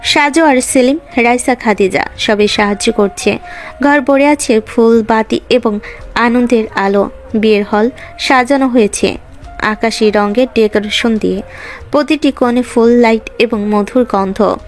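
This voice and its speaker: A woman's voice: fairly high pitch, measured pace, soft background music. A woman reading scripted narration for a romantic story.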